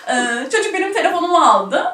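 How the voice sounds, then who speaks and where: A young woman with a distinctly high-pitched voice chats casually and tells stories with giggly animation. She sits back from the microphone in a small, echoey room. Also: very animated, quick pace, pushed and bright, a little hiss or hum. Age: young adult. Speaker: talk show guest. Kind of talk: casual chat and anecdotes. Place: small studio room at a table. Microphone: shared table microphone. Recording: roomy, distant microphone.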